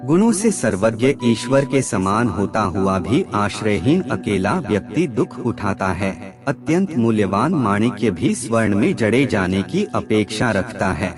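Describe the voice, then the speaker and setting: A man narrates in a fairly low voice with very lively, swinging intonation. He recites scripted wisdom in a measured, formal way, with music clearly playing behind him. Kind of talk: scripted narration of moral aphorisms. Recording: music bed under the voice. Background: background music.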